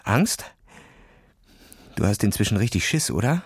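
A man's voice: fairly low pitch, sing-song, unhurried, husky, no echo, clean studio sound, exaggerated voice. A man voicing a cocky, impatient schoolboy who boasts and taunts in a children's audio story. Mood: taunting. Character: cocky, impatient, daredevil schoolboy acting cool.

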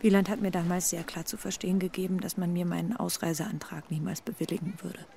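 A woman's voice: lowish voice, fairly even intonation, fast, creaky, dark tone, slight background noise. A woman playing an anxious, earnest ex-girlfriend from East Berlin, hesitant and confessional, in a radio crime drama. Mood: anxious, confessing. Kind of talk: intimate radio drama dialogue.

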